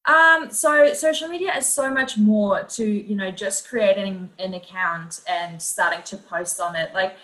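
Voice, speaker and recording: Female, thirties, bright forward voice, mid pitch; chatty, cheerful interview guest explaining casually. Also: a little slow, few pauses, poor audio. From Netherlands. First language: Dutch. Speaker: podcast guest, social media coach.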